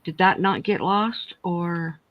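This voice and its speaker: A woman's voice: lowish voice, slow pace, no room sound. Talkative woman in her sixties, muffled dry audio. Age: 60s. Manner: talkative, tells long family stories. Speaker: livestream co-host. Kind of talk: casual chat.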